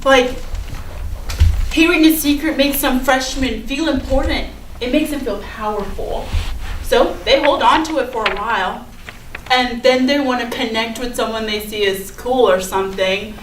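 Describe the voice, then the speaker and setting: A woman's voice: on the high side, moderate pace, lightly acted. A woman playing a popular, defensive teenage schoolgirl in a staged play reading, with chatty, casual, hedging delivery. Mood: confiding, self-excusing. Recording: echoey room from far off, noisy.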